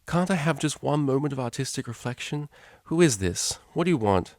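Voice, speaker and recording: A man's voice: mid-range voice, animated, brisk, dry recording, studio quality, voice pushed up, natural voice. A man voicing a proper young female pony cellist, flustered and easily exasperated, in a story reading. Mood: irritated. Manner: proper, stammering when flustered, indignant.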